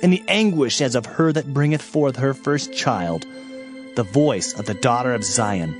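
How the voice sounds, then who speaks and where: A man's voice: mid-range voice, normal speed, over music, natural voice. A man playing an anguished Hebrew prophet in a dramatized scripture reading, lamenting and pleading with theatrical emphasis. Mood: mournful warning.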